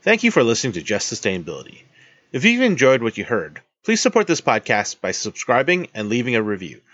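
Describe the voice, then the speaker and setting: A man's voice: medium pitch, huge pitch swings, a little fast, fairly bright tone, dull audio, soft background music. A man hosting a podcast, wrapping up the interview and reading the closing credits. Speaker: podcast host. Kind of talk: interview wrap-up and scripted outro.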